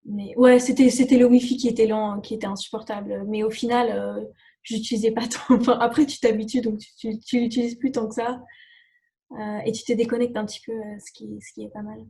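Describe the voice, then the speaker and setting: A woman's voice: a little high, flat delivery, fairly quick, vocal fry, dark tone. A young woman whose first language is English, answering interview questions casually with many fillers and restarts. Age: young adult. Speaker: exchange student guest.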